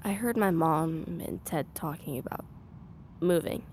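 An actress playing a sulky, guarded and stubborn young daughter in a naturalistic audio drama. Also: female voice, low-pitched, lively intonation, measured pace, darkish tone, a little hiss or hum. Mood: sulky, hurt.

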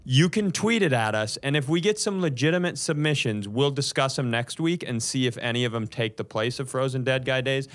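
Guy joking around on a comedy podcast, casual chat, middle pitch, swears some. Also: fairly quick, few pauses, fairly bright tone. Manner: joking, profane.

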